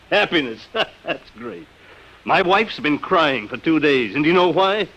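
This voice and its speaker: A man's voice: a little high, lively intonation, takes it slowly, vocal fry, bright, forceful tone, full character voice. An actor playing a bitter, beaten businessman and father, plain-spoken and sardonic, in vintage radio drama style. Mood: bitter sarcasm. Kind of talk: 1950s radio drama acting. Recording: muffled, band-limited old radio recording.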